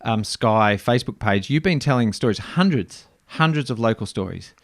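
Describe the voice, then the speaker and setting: A man hosting a podcast interview, talking warmly with frequent ums and restarts. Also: mid-range voice, expressive, normal speed, dry recording, clean studio sound. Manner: warm, hesitant with many ums and restarts. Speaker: podcast host.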